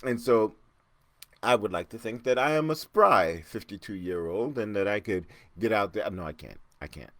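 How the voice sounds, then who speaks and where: Casting: fifties male podcast host, lowish voice, dry booth sound, chatty and self-deprecating. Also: animated, normal speed, fairly bright tone, no room sound. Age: 50s. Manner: rambling, self-mocking, frequent restarts and chuckles. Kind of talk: casual solo podcast monologue.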